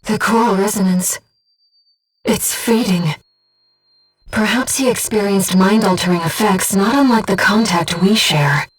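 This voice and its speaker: A woman voicing a disembodied female guide who speaks in a pilot's head as mission operator in a video game, with a soft, breathy voice and a precise, earnest delivery. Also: medium pitch, a little slow, distant mic. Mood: soft, sorrowful. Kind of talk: scripted video game voice lines, mission briefings and battle callouts.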